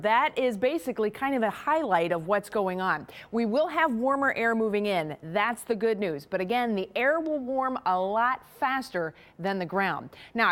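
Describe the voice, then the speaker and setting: Weather presenter, woman, higher register, talks viewers through the forecast conversationally. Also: fairly bright tone. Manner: conversational, explanatory. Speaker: television chief meteorologist.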